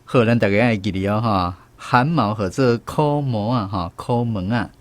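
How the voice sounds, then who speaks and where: A man's voice: on the low side, moderate pace. A man with a Taiwanese accent hosting a language lesson segment on the radio. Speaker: host of a translation quiz segment.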